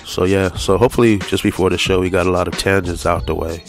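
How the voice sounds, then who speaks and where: A man with a very low, gravelly and flat voice hosts a casual talk show. He sounds stuffy from allergies and rambles loosely, trailing off into fillers. Faint music plays behind him. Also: quick pace. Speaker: podcast host. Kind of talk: casual chat.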